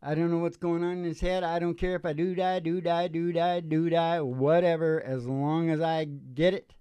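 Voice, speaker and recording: A man's voice: on the high side, steady tone, normal speed, warm tone, clean tone. An older man hosts from a small stage, chatting into a microphone between songs. He rambles casually and philosophizes in an irreverent, profane way. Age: older, about 60. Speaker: singer and show host. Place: small dark curtained stage, indoors. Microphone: stage microphone on a stand.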